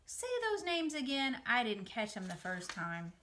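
A woman voicing a naive, boastful young male pig in a children's storybook, excitable and cheerful. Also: on the high side, a little slow, a little hiss or hum, heavily acted. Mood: amazed.

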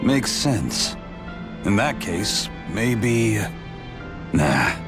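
A man playing a gruff, protective older brother warrior in a video game, brooding and sparing with words. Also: fairly low pitch, sing-song, very slow, music in the background, exaggerated voice. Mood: guarded, moody. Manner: laconic, gruff, hesitant. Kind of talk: video game cutscene acting.

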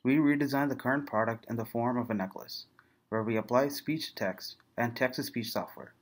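A man's voice: medium pitch, fairly quick. A young man reading out a scripted slide presentation as a student presenter. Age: young adult.

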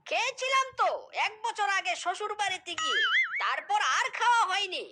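A woman's voice: very high voice, animated, fairly quick, very noisy. A woman voicing a cunning, stingy fox son-in-law in a comedy cartoon, eager, wheedling and exclaiming. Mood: naive, haggling. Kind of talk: comedy cartoon character dialogue.

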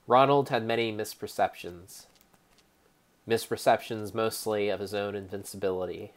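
A young man giving a theatrical, mock-serious dramatic narration over gameplay, speaking deliberately like a storyteller. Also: on the low side, steady tone. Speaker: game streamer.

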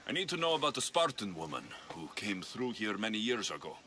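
Low-voiced, wry, tough mercenary. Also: male voice, sing-song, fairly quick, bright, forceful tone. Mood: menacing. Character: tough, wry male Spartan mercenary, blunt and menacing. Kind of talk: video game character acting.

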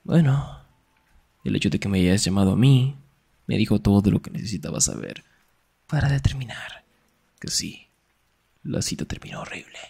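A man acting a young, sarcastic and jealous best friend in an ASMR roleplay, teasing in a breathy voice that often drops toward a whisper. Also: on the low side, moderate pace, long pauses, warm tone, full character voice. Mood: wry, teasing.

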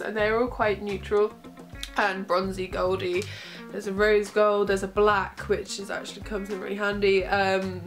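A young woman with a cold and a bunged-up voice, chatting casually through a makeup tutorial in her bedroom, close to the camera. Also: medium pitch. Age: young adult. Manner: chatty, relaxed, giggly asides. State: congested from a cold. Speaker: makeup vlogger. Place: small bedroom, indoors. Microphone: camera close in front.

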